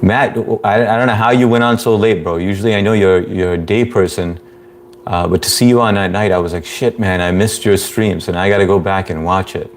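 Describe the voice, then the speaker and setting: A very low-voiced man hosts a relaxed live stream, reading viewer comments aloud and shouting out names. His delivery is flat and monotone, broken by easy chuckles. A faint hum sits under the recording. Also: fairly quick. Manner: laid-back, reads chat aloud, chuckles often.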